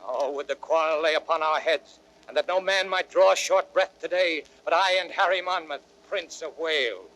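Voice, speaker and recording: A proud young rebel warrior played by a male actor in a Shakespearean audio drama. His voice is notably high for a man. He declaims with intensity, brave and impatient. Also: moderate pace, a bit bright, close to an everyday voice, low-quality recording. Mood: impassioned. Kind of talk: classical Shakespearean radio drama acting.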